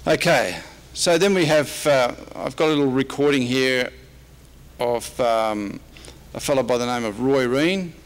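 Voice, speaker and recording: A man's voice: mid-range voice, measured pace. An Australian man presenting as a historian in an informal slide-show talk, casual and dryly humorous. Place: convention presentation room, speaking at a microphone.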